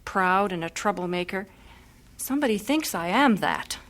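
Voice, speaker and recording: A woman's voice: medium pitch, lively intonation, normal speed, a bit bright, lightly acted. Actress as an eager young missionary woman, earnest heartfelt delivery, radio play. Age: young adult. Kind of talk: vintage radio drama acting.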